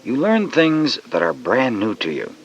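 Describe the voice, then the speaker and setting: A man's voice: mid-range voice, huge pitch swings, a little slow. A man reading scripted educational narration for children, instructive and speaking straight to the listener, on a lo-fi cassette. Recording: dull lo-fi cassette.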